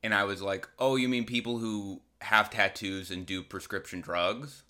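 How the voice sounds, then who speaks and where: A man's voice: lowish voice, steady tone, normal speed, bright, forceful tone. An American man from Massachusetts hosting a solo podcast, delivering a casual, rambling comedic monologue with an irreverent, crude, sardonic manner. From Massachusetts, United States.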